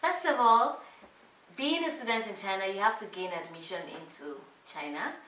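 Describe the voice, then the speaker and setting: A woman's voice: medium pitch, lively intonation, normal speed, pushed and bright, a little hiss or hum. A woman hosting a casual chat with friends, asking questions with a laugh. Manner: chatty, laughing interviewer. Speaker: host. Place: room with friends. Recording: echoey room, far from mic.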